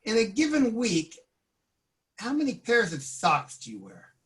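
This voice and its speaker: A man's voice: high voice, wildly swooping pitch, measured pace, exaggerated voice. A man playing a probing job interviewer in improvised sketch comedy, matter-of-fact and mock-serious about odd policies. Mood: deadpan, probing.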